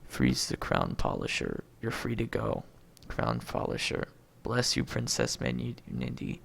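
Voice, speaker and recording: Man, amateur script reading, very creaky fry, lively pitch swings, stumbles on words, reads out stage directions. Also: a little slow. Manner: reads names and punctuation aloud, stumbling over words. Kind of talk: reading a movie script aloud, stage directions and all lines.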